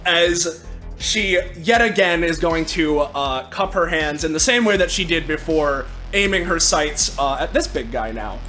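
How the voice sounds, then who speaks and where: A man with a fairly high voice describes his character's moves in a tabletop game. He talks casually and haltingly, piecing it together as he goes, with music underneath. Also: pushed and bright, poor audio. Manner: halting, conversational. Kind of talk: casual improvised narration of character actions. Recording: music playing behind the voice. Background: background music.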